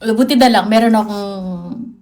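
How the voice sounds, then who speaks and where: A woman's voice: medium pitch, a little slow, a little mellow. A Filipino woman chatting casually and playfully on a livestream, mixing in English. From Philippines. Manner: playful, chatty, mixes English into her talk. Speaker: livestream host.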